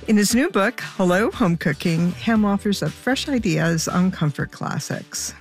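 A woman's voice: medium pitch, lively intonation, a little slow, soft background music. A woman presenting and interviewing as the host of a show, moving between prepared lines and conversation. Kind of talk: scripted introduction and conversational interviewing.

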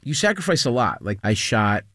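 A man's voice: mid-range voice, sing-song, moderate pace, fairly bright tone, no echo, clean studio sound. A man talking candidly and informally about himself as a comedian in an interview. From Greek immigrant. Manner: informal, confessional. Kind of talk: casual, candid interview chat.

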